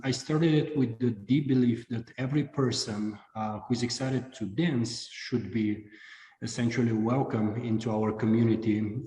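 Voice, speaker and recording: A man in his early thirties from Bulgaria, answering interview questions earnestly and at a measured pace, with frequent ums. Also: medium pitch, dark tone. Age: 30s. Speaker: guest, dance instructor. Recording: roomy echo with a long reverb.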